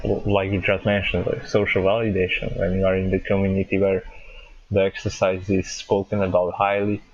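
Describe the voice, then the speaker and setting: Low flat-voiced man on a dull line. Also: dry recording. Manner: halting, restarts words, searches for phrasing. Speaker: podcast host. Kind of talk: podcast interview conversation.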